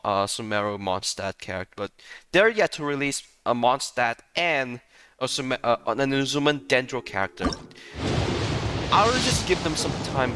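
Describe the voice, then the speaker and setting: Halting male game streamer over loud music. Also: mid-range voice. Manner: halting, repetitive, often laughing. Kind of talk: casual live gaming commentary.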